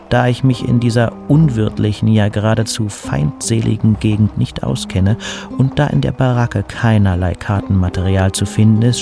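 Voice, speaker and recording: A low, creaky, wry burnt-out poet. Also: male voice. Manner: dry, stilted, wordy formal phrasing. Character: burnt-out male city poet, wry and neurotic. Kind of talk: audio drama dialogue and letter narration.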